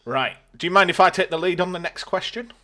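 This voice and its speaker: Bright-voiced British man, dry podcast host. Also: high-pitched, fast talker. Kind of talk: casual podcast chat.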